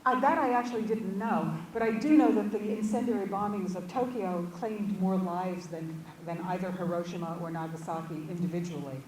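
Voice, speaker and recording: A woman guest speaker thinking aloud in an informal academic discussion, halting and hedging as she repeats words. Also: on the low side, darkish tone. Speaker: visiting guest speaker answering audience questions. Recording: echoey room, distant mic, clear background noise.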